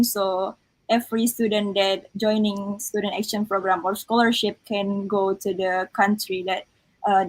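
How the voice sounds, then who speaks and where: A woman's voice: mid-range voice, low-quality recording. A woman from Indonesia, an exchange student speaking earnestly on an online panel over a video call, with many fillers. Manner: earnest, filler-heavy, non-native grammar.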